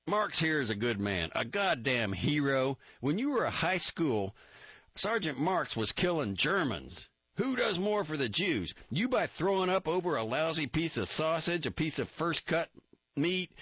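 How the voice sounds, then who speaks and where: A man voicing a gruff, impatient army captain with a loud, bullying, sarcastic manner. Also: fairly high pitch, very animated, normal speed, no echo, pitched above the performer's own voice. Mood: angry. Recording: dull dry recording.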